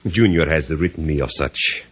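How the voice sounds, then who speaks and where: An actor playing a courtly, mock-sorrowful Cuban police official in an old-time radio drama, florid and formal, with a Cuban Spanish accent. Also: male voice, extremely low pitch, normal speed, a bit bright, breathy edge, exaggerated voice. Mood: courtly probing. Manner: florid, overly polite, formal.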